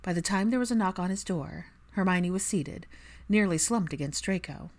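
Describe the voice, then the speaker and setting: A woman narrates a piece of fiction. Her voice sits fairly low for a woman, and she delivers the prose as a scripted audiobook reading. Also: moderate pace.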